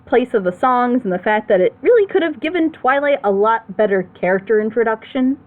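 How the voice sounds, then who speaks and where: A woman's voice: a little high, animated, brisk. A woman hosting a group reaction show, chatting casually as she reviews an audio drama. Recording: noisy phone-like voice call.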